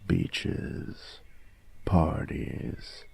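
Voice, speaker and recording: A man voicing a cocky, teasing young bully who is secretly smitten, in a husky, slangy intimate audio roleplay. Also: very slow, darkish tone, a little hiss or hum. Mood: mock-annoyed, cocky. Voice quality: very deep, gravelly, husky. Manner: swearing, teasing, smug slangy drawling delivery.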